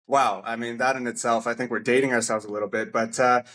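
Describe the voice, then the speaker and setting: A man's voice: medium pitch, level tone, fast, fairly bright tone. A middle-aged man hosting a podcast, friendly and chummy, laughing often. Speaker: podcast host. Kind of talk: casual interview talk. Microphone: podcast mic.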